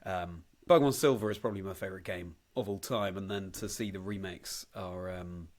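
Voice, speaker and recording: Low-pitched, encouraging male podcast host. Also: brisk, darkish tone. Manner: encouraging, appreciative. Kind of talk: casual interview chat.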